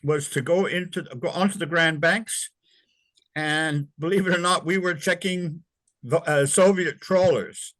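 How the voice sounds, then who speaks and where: An older man, born in England and raised in Canada, recalls his navy years in an interview, talking in a halting way with many fillers and restarts. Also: on the high side, fairly even intonation, fairly bright tone, dry recording. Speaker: interview guest, former navy sailor. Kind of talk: casual recollection in an interview.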